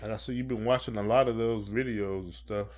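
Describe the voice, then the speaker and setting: A man's voice: lowish voice, steady tone, brisk, darkish tone. A man with an American accent co-hosting a podcast, asking questions in casual, colloquial speech.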